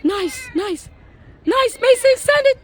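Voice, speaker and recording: A woman cheering on a youth soccer team from the sideline at an outdoor field, shouting players' names and encouragement. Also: very high-pitched, lively intonation, slow pace, a faint crowd.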